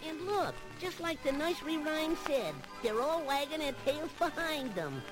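A woman's voice: high voice, animated, normal speed, low-quality recording. A voice actor playing a brash, teasing male cartoon rabbit on an old children's story record, with music playing behind. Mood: comforting. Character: wisecracking male cartoon rabbit, cheeky and friendly.

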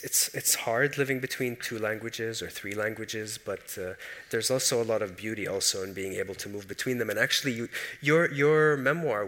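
A man who grew up speaking Arabic and English, moderating a book talk in a relaxed, hesitant way with many fillers. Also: on the low side. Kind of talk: panel discussion. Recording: echoey hall reverb.

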